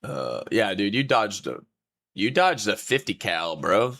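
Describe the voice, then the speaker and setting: A man's voice: fairly low pitch, very animated, unhurried, fairly bright tone. A man hosting a casual livestream with a stuffy nose, blunt and laughing often. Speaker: streamer host.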